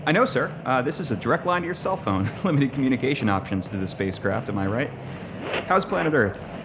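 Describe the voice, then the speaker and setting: Meek astronaut captain for an audio comedy, hesitant, up and down pitch, clear background noise. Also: male voice, very animated, fast talker. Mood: dutiful, polite. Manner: hesitant, politely deferential. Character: deferential, hesitant lone male spaceship captain. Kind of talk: sci-fi audio comedy acting. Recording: clearly noisy spacecraft ambience.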